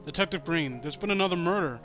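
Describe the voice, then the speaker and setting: A man's voice: fairly high pitch, lively intonation, fast talker, over music, lightly acted. A man voicing an anxious young male college student in an earnest, subdued way, as in a read-aloud story. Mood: earnest, worried.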